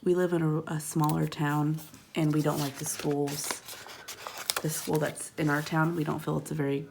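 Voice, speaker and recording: Low gravelly-voiced woman in her thirties. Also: flat delivery, normal speed, background noise. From California. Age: 30s. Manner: chatty, rambling, murmurs softly while placing stickers. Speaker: planner vlogger. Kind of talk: casual plan-with-me narration. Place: at home, tabletop.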